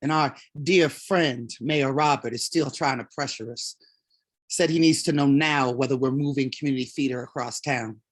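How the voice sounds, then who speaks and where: A woman's voice: extremely low pitch, normal speed. A woman in a staged reading playing an impassioned, defiant leader of a community theater company, with a blunt, emphatic delivery. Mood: resolute. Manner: blunt, emphatic speech-making.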